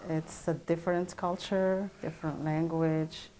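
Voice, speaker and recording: A woman's voice: low voice, flat delivery, measured pace, mellow, slight background noise. A woman who came as an adult learner answering interview questions in a plain, simple way.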